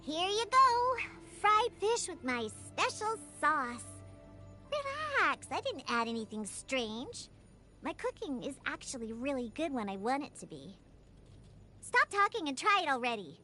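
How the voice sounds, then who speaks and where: A woman's voice: sits high, big pitch swings, unhurried, pushed and bright, faint music behind, exaggerated voice. A woman voicing a feisty, proud young cat-eared girl bartender in a video game, pouty and bratty with cutesy delivery. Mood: bossy. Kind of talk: video game character voice lines.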